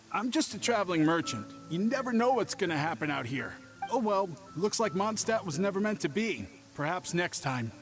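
Game role: nervous young travelling merchant, high animated voice, evasive, faint music behind. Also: male voice, moderate pace. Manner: hesitant, stammering, evasive. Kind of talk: scripted video game voice acting.

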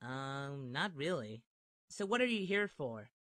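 A sardonic teenage ninja voiced by a man for a parody cartoon. The voice is crisp-edged and bright, recorded very dry, with deadpan sarcasm. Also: medium pitch, unhurried, no echo. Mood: dry, unimpressed. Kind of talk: comedic parody dub.

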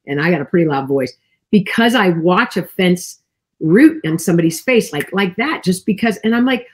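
A woman's voice: medium pitch, slightly rough. A woman in her sixties, a healing minister, talking with fervent emphasis over a video call from her home office. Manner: emphatic, expressive, fervent preaching tone. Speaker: guest, healing minister and author. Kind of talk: animated testimony chat. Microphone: video call webcam.

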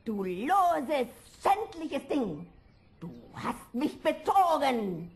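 A wicked old witch from a fairy-tale radio play, high-pitched and swooping. She draws out her venomous taunts slowly, gloating cruelly. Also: big pitch swings, gravelly, full character voice. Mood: furious gloating. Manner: venomous, drawn-out, mocking delivery. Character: spiteful old sorceress, furious, gloating and cruel. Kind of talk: fairy-tale audio drama acting.

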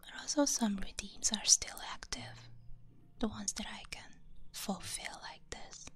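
Slow breathy whispering woman, Hungarian accent. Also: medium pitch, soft background music. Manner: slow, rambling and gentle. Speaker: VTuber streamer. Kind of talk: whispered ASMR chat. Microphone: binaural mic.

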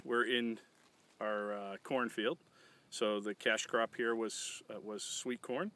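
A man's voice: lowish voice, fairly even intonation, slow pace, sharp tone. A man in his forties, a farmer, talking plainly about his farm in an outdoor interview, with a good number of fillers. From Massachusetts. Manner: plain, matter-of-fact, with frequent fillers. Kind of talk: casual interview talk.

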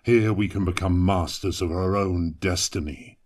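A man voicing a grim, ambitious Chaos warrior in dark fantasy audio fiction, solemn and declaiming, his voice pitched down. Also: fairly even intonation, normal speed. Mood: grim resolve.

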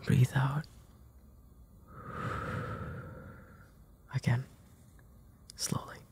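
A man acting a gentle, protective big brother in an intimate comfort roleplay audio, tender and hesitant, with a breathy, soft delivery. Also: medium pitch, level tone, slow, lightly acted. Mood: soothing, calming. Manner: hesitant, tender, soft-spoken, often dropping into breathy whispers.

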